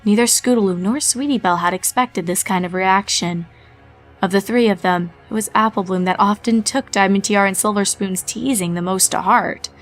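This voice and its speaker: A woman narrating a horror fanfiction story in a measured storytelling manner. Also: mid-range voice, faint music behind.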